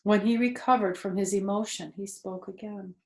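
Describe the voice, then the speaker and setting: An older woman reading a children's book aloud as a storyteller, with expressive narration. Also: mid-range voice, normal speed, echoey room.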